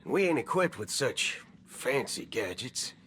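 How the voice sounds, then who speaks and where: An actor playing a folksy, swaggering space cowboy in a video game, with a theatrical American Southern drawl. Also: male voice, medium pitch, sing-song, unhurried, fairly bright tone, a little hiss or hum. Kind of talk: video game character acting.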